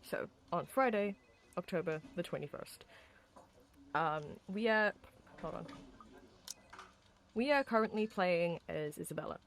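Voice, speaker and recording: A tired, hoarse streamer who chats casually with viewers while replaying a horror visual novel, rambling with lots of ums and restarts. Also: medium pitch, lively intonation, a little slow, pauses often, a bit bright. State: hoarse, sleepy. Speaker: streamer host.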